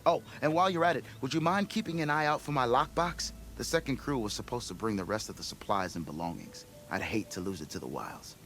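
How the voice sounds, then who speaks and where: An actor playing a blustering, scheming expedition leader in a video game, with grandiose storytelling. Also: male voice, medium pitch, lively intonation, fast, hardly any pauses, fairly bright tone, faint music behind. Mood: cajoling. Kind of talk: video game cutscene acting.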